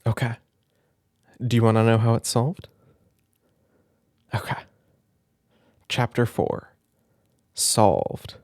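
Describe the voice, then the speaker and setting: A young man doing gentle, affectionate ASMR roleplay, speaking softly and simply to a listener as if reading aloud at bedtime. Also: mid-range voice, steady tone, takes it slowly, pauses often, dry recording, clean studio sound. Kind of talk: soft intimate ASMR roleplay and storybook reading. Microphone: close microphone in a dry space.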